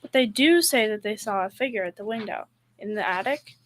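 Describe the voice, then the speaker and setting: A young female performer playing a calm, gossipy, caring wife in a school old-time radio comedy. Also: medium pitch, brisk, slight background noise, natural voice. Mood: reassuring. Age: young teen.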